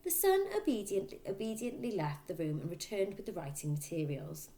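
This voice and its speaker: A woman reading a children's book aloud, in expressive, emphatic storyteller narration. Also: medium pitch, fairly quick. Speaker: storybook reader, teacher.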